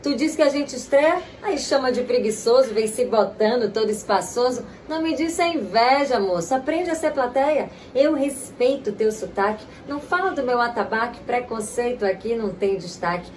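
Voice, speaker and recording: A woman's voice: on the high side, lively intonation, normal speed, hardly any pauses, darkish tone, slight background noise, poor audio. A woman from Salvador with a Bahian Brazilian accent, speaking expressively like a stage performer as she declaims and tells stories. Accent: Bahian Brazilian Portuguese. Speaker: guest actress, writer and improviser. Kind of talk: declaimed poetry and personal storytelling.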